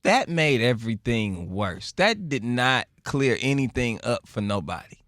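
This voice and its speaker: Animated laughing man on a podcast. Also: medium pitch, no echo, clean studio sound. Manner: animated, laughing, halting restarts. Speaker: podcast co-host. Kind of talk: casual group chat.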